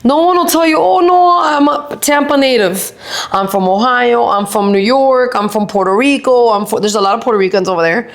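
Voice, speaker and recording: A middle-aged woman with a Miami accent, chatting on a podcast as co-host, telling stories in quick animated bursts full of "like". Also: fairly high pitch. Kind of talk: casual chat.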